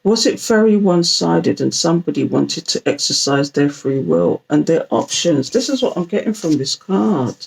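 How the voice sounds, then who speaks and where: Croaky-voiced British woman reading tarot. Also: sits low, lively intonation, normal speed, few pauses, darkish tone, poor audio. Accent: British, London Jamaican slang. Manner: chatty, playful, talks to viewers directly. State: croaky, groggy morning voice. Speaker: tarot reader. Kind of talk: casual oracle card reading to camera.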